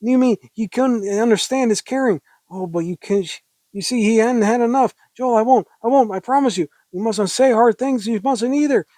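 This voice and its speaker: Casting: male reader as a frightened, headstrong woman in a literary night scene; high, breathless, insistent, hysterical delivery. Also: moderate pace, dark tone, no echo. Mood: pleading, defiant.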